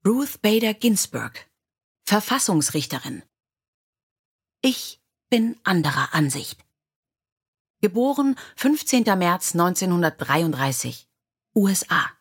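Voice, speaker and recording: A woman's voice: on the low side, sing-song, takes it slowly, pauses often, slightly rough. A woman reading scripted biographical stories as an audiobook narrator.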